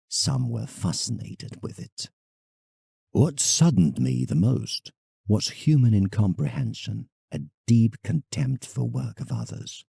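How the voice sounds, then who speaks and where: A man's voice: fairly low pitch, gravelly, mellow, husky, no echo, studio quality, full character voice. An actor playing a proud, wounded, sentimental male painter, reading his letter in a theatrical, confiding way for an audio drama.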